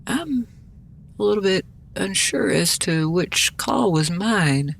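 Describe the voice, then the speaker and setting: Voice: medium pitch, expressive, takes it slowly, vocal fry, a bit bright, slight background noise. A gameplay host giving casual, rambling commentary, muttering and thinking aloud in a self-deprecating way. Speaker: gaming video host.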